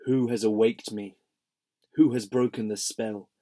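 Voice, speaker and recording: A tall, haughty queen speaks in a commanding, cold tone, low and mellow in sound, as a slightly hoarse man reads her lines. Her voice carries quiet authority. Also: fairly even intonation, unhurried, lightly acted. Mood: imperious. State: slightly hoarse from a sore throat.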